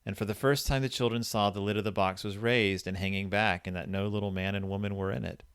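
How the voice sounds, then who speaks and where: A man hosting a casual book discussion, informal and colloquial, retelling and quoting a story in an animated way. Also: lowish voice, fast, clear, resonant tone, no room sound, studio quality. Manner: animated, acts out quoted dialogue. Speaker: podcast host.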